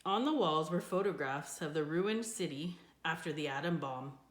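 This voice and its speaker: Echoey, lower-voiced woman reading a storybook. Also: normal speed. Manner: expressive, with character voices for dialogue. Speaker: reader of a children's book. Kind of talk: scripted storybook narration, read aloud. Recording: echoey room.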